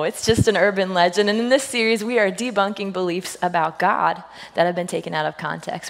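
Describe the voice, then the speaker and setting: A woman pastor with a husky, slightly hoarse voice speaks to a church congregation. She tells stories and jokes playfully, slipping into quoted voices. Her words trail off in the long reverb of a large sanctuary. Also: medium pitch. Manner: playful storytelling, self-deprecating jokes, lively quoted voices. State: husky voice today. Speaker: senior pastor. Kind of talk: humorous sermon to a congregation.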